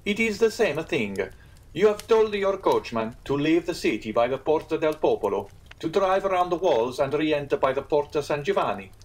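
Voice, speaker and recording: Man acting a high-pitched, excitable Italian innkeeper. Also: moderate pace, lightly acted, rough recording. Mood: alarmed, insistent. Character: excitable, easily piqued Italian innkeeper, earnest and proud.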